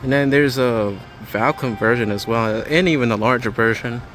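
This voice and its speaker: A man's voice: medium pitch, moderate pace, a bit bright. Man vlogging casually over store music. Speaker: hobbyist vlogger. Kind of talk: casual walk-through commentary. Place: inside a large home improvement store. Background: background music in a store.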